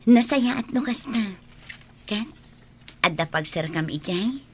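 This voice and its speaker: An actress with an Ilocano accent playing a caring, dutiful young woman and loyal friend in a radio drama, speaking gently. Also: fairly high pitch, lively intonation, slow, slight background noise.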